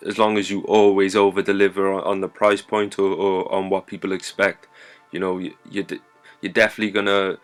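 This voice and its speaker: A man's voice: on the low side, fairly bright tone. A man hosting a podcast, agreeable and encouraging, often adding "man" at the end of his lines. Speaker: podcast host. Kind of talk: casual podcast conversation.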